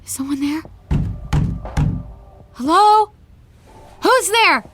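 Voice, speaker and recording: Placating young woman, high voice, casual, hesitant, conciliatory, naturalistic audio drama. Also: animated, drawn-out words, music in the background, exaggerated voice. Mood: frightened. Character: groggy, placating young female best friend.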